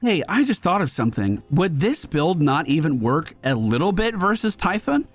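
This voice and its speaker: A man with a slightly high voice gives casual gameplay commentary. He is chatty and slangy, working through his choices aloud. Soft game music plays behind him. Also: very animated, moderate pace. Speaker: gaming streamer.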